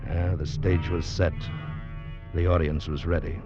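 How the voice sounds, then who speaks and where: Deep-voiced, grave convict storyteller. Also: male voice, level tone. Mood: somber, ominous. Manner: measured, dramatic storytelling. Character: grave male convict narrator in a tropical penal colony. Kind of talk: 1940s radio drama narration and acting. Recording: dull vintage radio recording with music behind.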